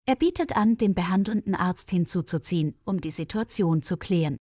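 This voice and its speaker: A woman reading a scripted story for language learners, articulating clearly. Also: fairly low pitch, lively intonation, fairly quick, warm tone, no echo, studio quality. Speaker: narrator.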